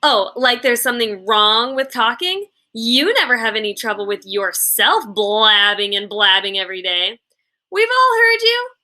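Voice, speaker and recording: A haughty fifth-grade chatterbox girl, played by a woman reading a storybook. She is high and bright, gushing along in run-on, gossipy chatter. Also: big pitch swings, measured pace, heavily acted. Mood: indignant, sarcastic.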